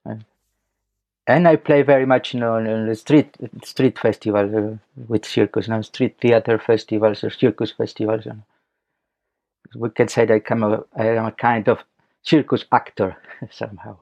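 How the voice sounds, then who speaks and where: A man from Chile with a Chilean Spanish accent, speaking haltingly and searching for words as a guest in a casual interview. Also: on the low side, fairly even intonation, vocal fry, darkish tone. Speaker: podcast guest, circus clown and comic actor. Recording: dull lo-fi audio.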